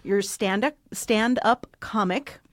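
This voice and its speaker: A woman's voice: medium pitch, takes it slowly, no echo. A slightly congested woman hosting a podcast in a studio, upbeat and chatty with lots of fillers. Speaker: podcast host.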